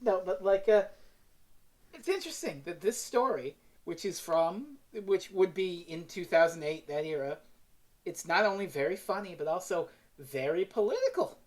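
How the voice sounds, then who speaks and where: Female podcast reviewer, lowish voice, chatty, rambling, excitable. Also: normal speed. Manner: rambling, excitable, acts out mock quotes. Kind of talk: casual review discussion.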